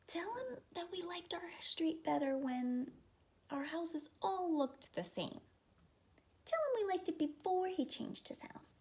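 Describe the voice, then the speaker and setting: A woman's voice: extremely high pitch, sing-song, a little slow, darkish tone, voice pushed up, exaggerated voice. A woman voicing scandalized, fussy storybook neighbors who complain indignantly about keeping their street tidy. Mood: outraged.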